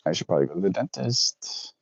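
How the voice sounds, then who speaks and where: Low-voiced man chatting on a stream. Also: sing-song, fast, gravelly, husky, dry recording. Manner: rambling, self-correcting, reads out chat. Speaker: livestream host chatting with viewers. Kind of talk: casual livestream chat. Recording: dull lo-fi dry sound.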